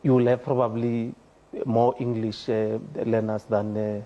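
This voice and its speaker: A man's voice: lowish voice, little pitch movement, measured pace, warm tone, slight background noise, poor audio. A South African man speaking as a studio guest on a panel, earnest and hesitant with many fillers. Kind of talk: panel discussion.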